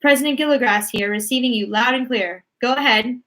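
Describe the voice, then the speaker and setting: A woman's voice: a little high, fairly quick, fairly bright tone, voice pushed up, lightly acted, poor audio. A woman voicing a flustered, demanding male president from a storybook, full of exclaimed questions. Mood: brisk. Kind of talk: storybook read-aloud character voice.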